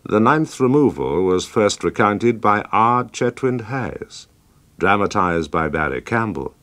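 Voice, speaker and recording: A man announces radio credits in a formal style. His voice is very low and unhurried, with expressive rises and falls. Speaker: closing announcer.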